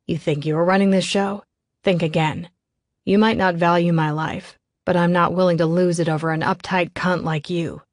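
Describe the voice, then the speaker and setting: A menacing criminal man from an audiobook thriller , acted by a woman narrator. He is desperate, contemptuous and goading. The voice is lowish and closely dry. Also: steady tone, normal speed, dry recording, close to an everyday voice. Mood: menacing, spiteful.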